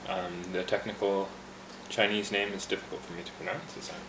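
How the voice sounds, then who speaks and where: A man working behind a tea bar casually explains how to brew a green tea, talking in a relaxed way with fillers and restarts. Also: low-pitched, a little fast, reverberant, noisy background. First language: English. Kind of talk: casual instructional demonstration.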